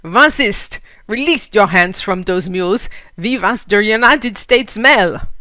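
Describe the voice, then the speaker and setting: Woman acting out an indignant, shouting German mailman. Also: mid-range voice, animated, slow, dry recording, studio quality. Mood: outraged, alarmed. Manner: shouting, indignant, broken English. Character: excitable, outraged German immigrant mailman with thunderous voice.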